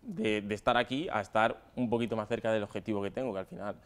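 Smooth, low-voiced young Madrid man. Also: quick pace. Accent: Madrid Spanish. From Spain, Madrid area. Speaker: footballer being interviewed. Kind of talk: casual interview answers. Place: stadium dugout with plastic seats.

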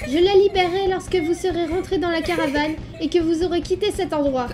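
A woman's voice: extremely high pitch, steady tone, brisk, music in the background. A woman voicing an anxious, kind-hearted, determined young child in a French comic dub, earnest and emotional. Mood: threatening.

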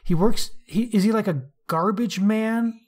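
A man's voice: sits high, unhurried, a little mellow. A man co-hosting a podcast, discussing books casually in an articulate, wry way. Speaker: podcast co-host.

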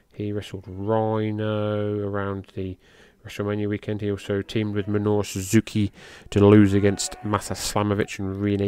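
A middle-aged man, a podcast host giving loose, rambling play-by-play of a match, who swears casually and lifts his voice at the big moves. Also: clear, resonant tone. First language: English. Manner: loose, rambling, swears casually, gets excited at high spots.

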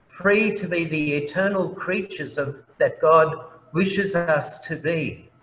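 An Australian man, a priest, giving a gentle, reverent sermon. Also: a little high. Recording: echoey room, faint background noise.

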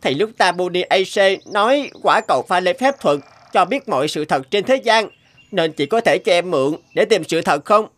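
A woman voicing an earnest, wounded young orphan demon in an animated fairy tale, pleading and plaintive. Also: fairly low pitch, normal speed.

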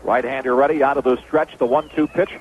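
A man's voice: mid-range voice, fairly even intonation, quick pace, narrow, tinny audio. An American man calling baseball play-by-play as a 1970s radio announcer. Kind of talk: 1970s radio sports commentary. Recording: noisy phone-band vintage radio broadcast.

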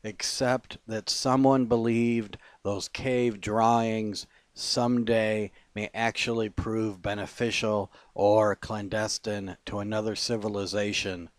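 A man recites poetry in a fairly low voice. His pace is very slow and deliberate, with measured phrases set apart by pauses. The sound is dry, with no room echo around the words. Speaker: poet. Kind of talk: poetry reading.

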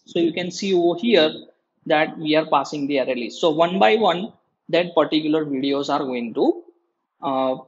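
A man giving a halting, step-by-step screen tutorial as a programming lecturer. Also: on the high side, lo-fi sound, a little hiss or hum. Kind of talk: screen-recorded tutorial explanation.

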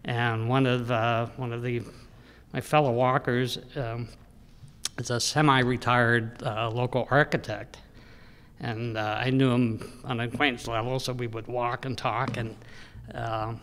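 A man in his sixties giving an informal, rambling talk at a podium microphone, often pausing and hesitating as he goes. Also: mid-range voice, level tone, a bit bright, clean tone. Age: 60s. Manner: halting, rambling delivery with frequent hesitations. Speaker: local history journal editor speaking at an event. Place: indoor public room, speaking at a podium. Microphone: gooseneck podium microphone. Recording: echoey hall with long reverb.

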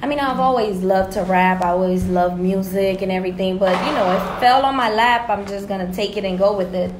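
A young woman from Haiti talks casually as an interview guest, laid-back and tired, often breaking into laughter. Her voice sits at a middle pitch. The studio mic picks up a roomy echo and a faint hiss. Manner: relaxed, laughs often, drawn-out phrasing. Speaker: guest rapper and influencer. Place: small studio with brick wall. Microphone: studio mic on boom, wearing headphones.

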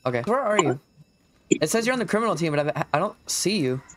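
A young adult man hosting a gaming video with casual, playful and excitable banter. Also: on the high side, fast. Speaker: gaming vlog host.

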